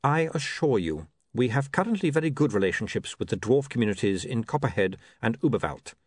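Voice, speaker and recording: A man's voice: medium pitch, very animated, dry recording. Man as a cool, unruffled city ruler, dry sound. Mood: calm, ironic. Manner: measured, precise, unruffled. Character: calm, coolly ironic male ruler of a fantasy city.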